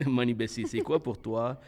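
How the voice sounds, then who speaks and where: A man hosting a podcast. He talks casually and haltingly, restarting his sentences and slipping in English words. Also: mid-range voice, a little mellow. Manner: halting and hesitant, many restarts, laughs often, mixes in English. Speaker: podcast host.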